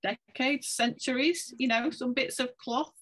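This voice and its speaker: A woman's voice: high voice, a little slow, fairly bright tone. A woman speaking as a panelist on an online discussion, reflective and hesitant with many fillers. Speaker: panelist, researcher. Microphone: video call laptop mic.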